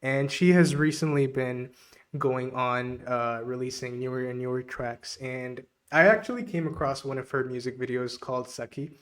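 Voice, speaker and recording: A man's voice: medium pitch, fairly even intonation, normal speed. Hesitant podcast host, man. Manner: hesitant, heavy on fillers. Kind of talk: podcast interview.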